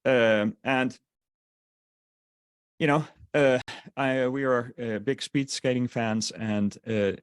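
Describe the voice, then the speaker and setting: A man's voice: medium pitch, fairly even intonation, slow, pauses often, clean tone, no echo, clean studio sound. A man from the Netherlands with a Dutch accent, presenting a sales webinar in a rambling way with many fillers and restarts.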